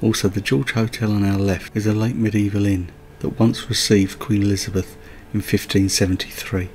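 A man's voice: on the low side, little pitch movement, moderate pace, a little mellow, soft background music. A man narrating a countryside road trip as a voice-over, with light chatty asides. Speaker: travel vlogger. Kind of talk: scripted travel narration.

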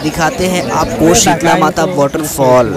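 A man's voice: on the high side, a little slow. A young man narrating a travel vlog while walking a crowded outdoor trail, chatty and often addressing viewers as guys, filmed at arm's length. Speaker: travel vlogger. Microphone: handheld camera or phone at arm's length. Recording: loud crowd and outdoor noise. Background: crowd chatter and outdoor noise.

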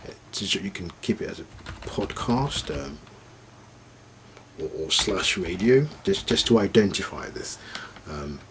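Male product demonstrator, fairly low voice, unscripted and hesitant, walking through steps over slight noise. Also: very animated, vocal fry. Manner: halting, thinking aloud while operating the device. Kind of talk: informal screen tutorial, unscripted step-by-step demonstration.

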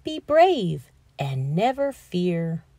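A woman voicing a gentle, loving mother penguin in a children's storybook read-aloud, with a soothing and reassuring manner. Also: lowish voice, sing-song, drawn-out words, no room sound, exaggerated voice.

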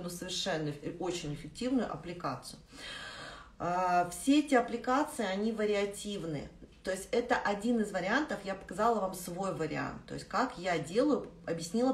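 Low-pitched woman instructor, echoey room. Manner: instructive, demonstrating with frequent rephrasing. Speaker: face taping instructor. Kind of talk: live webinar tutorial, explanatory. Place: small indoor room with plain wall.

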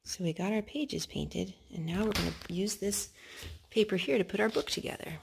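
A woman's voice: medium pitch, moderate pace, creaky, background noise. A woman chatting casually with small children and narrating a craft in an encouraging, sing-song way, recorded on a handheld phone at home. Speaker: parent hosting a children's craft video. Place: indoors at home, wood-floored living room. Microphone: handheld phone filming.

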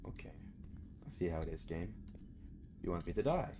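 A young man with a low voice talks casually through a game, muttering to himself and swearing freely. He is recorded in a room at home with faint noise behind him. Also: lively intonation, moderate pace. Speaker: gaming video host. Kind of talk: casual let's play commentary. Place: small room indoors at home.

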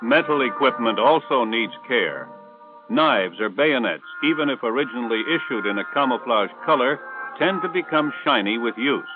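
An American man reading scripted instructional narration for a 1960s military training film in a measured, authoritative way. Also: mid-range voice, expressive, over music. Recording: tinny band-limited 1960s film soundtrack.

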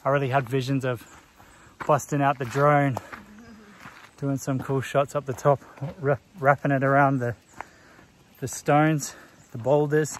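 A man in his thirties giving relaxed trail commentary to a phone held at arm's length, outdoors on a forest hiking path. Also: medium pitch, unhurried, long pauses, a little hiss or hum. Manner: relaxed, informative, talking while walking. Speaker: hiking vlogger. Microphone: phone camera at arm's length.

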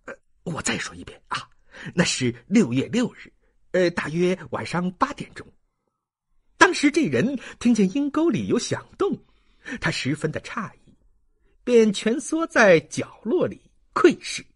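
A narrator acts out a sly male swindler from an audiobook novel, husky and swooping wildly in pitch. He wheedles and insinuates, stalling with drawn-out hesitations. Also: huge pitch swings, exaggerated voice. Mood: sly, insinuating. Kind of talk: audiobook character acting.

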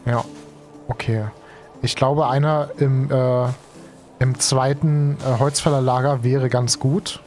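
Chatty young gaming streamer with a cold. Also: male voice, mid-range voice, fairly even intonation, takes it slowly, darkish tone, soft background music. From Germany. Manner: chatty, slangy, thinks aloud with many fillers. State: has a cold and is tired. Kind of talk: casual let's play commentary. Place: home streaming room. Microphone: headset.

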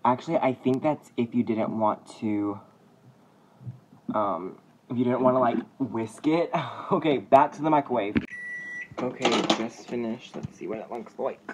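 A young man talks in an informal, playful way, like a home cook vlogging to friends. His voice sits at a middle pitch. The phone recording is very noisy, with clicks and clattering dishes in a kitchen. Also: normal speed. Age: young adult. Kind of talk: casual cooking vlog chat. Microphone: phone at arm's length.

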